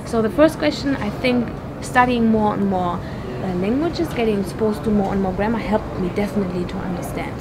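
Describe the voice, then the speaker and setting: A woman's voice: medium pitch, brisk. Lady teacher, careful explainer, middle voice, noise behind. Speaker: German teacher. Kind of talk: interview answer. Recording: noisy recording with traffic.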